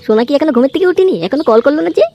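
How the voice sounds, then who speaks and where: A woman voicing a cheeky, foul-mouthed, lovestruck young man in a comedy cartoon, whiny, excitable and crude. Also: high voice, very fast, lo-fi sound, over music. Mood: indignant, cursing. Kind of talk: amateur comedy cartoon dubbing. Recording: clearly noisy homemade cartoon audio.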